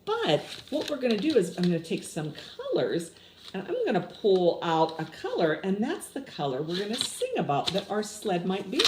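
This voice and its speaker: A woman's voice: medium pitch, huge pitch swings, normal speed. A woman in her sixties leading a children's story time, explaining simply and putting questions to young listeners. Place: small indoor room with window blinds. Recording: roomy echo with clear background noise.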